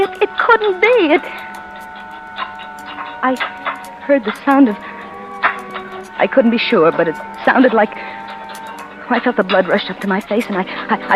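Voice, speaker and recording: A high-pitched, frightened young wife, as an actress plays her, telling her story in breathless, stammering bursts. It sounds like a 1940s radio thriller, with music playing beneath her voice. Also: dull audio, natural voice. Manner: breathless, stammering first-person narration. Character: frightened young wife turning murderous, tense. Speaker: narrator and lead character. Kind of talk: 1940s radio drama acting.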